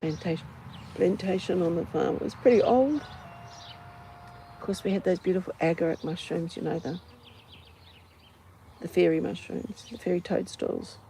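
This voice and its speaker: Low, creaky-voiced New Zealand woman, musing. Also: mellow, slight background noise, low-quality recording. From Auckland, New Zealand. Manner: musing, unhurried, pausing between short phrases. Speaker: host of a personal ramble vlog. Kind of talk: unscripted reflective outdoor ramble. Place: outdoors on a farm doorstep. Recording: outdoor ambience, buzzing flies and insects. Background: flies, insects, farm animals.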